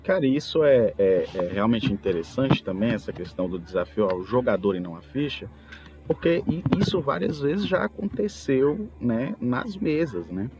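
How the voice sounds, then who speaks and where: A man with a Brazilian accent talks casually as a podcast host, telling gaming anecdotes in an animated, storytelling way. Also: mid-range voice, moderate pace. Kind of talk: casual anecdotal talk about tabletop role-playing. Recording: music bed behind the voice. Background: background music.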